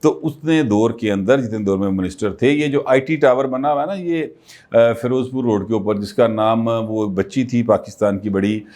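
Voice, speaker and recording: Guy talking politics to camera, casual, hesitant fillers, average pitch. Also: darkish tone. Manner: conversational, many filler pauses. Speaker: political commentator. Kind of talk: solo political commentary.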